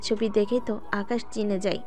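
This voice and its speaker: A woman's voice: mid-range voice, level tone, a little fast, dark tone. A woman narrating a scripted audio story as its storyteller. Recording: music bed under the voice.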